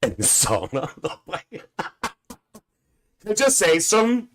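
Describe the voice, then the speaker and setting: A man's voice: a little high, huge pitch swings, a little slow, background noise. A man doing a bawdy aunty. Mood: gossipy. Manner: bawdy, gossipy, calls viewers dear, laughs a lot. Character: gossipy older woman, a brash chatty aunty. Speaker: livestream host. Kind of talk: live-stream comic chat reading comments.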